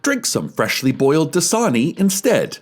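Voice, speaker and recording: A man's voice: a little high, sing-song, normal speed, voice pushed up. A man voicing a pompous government announcer who reads a public-service message with fervent, rhetorical emphasis. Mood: pompous, preachy.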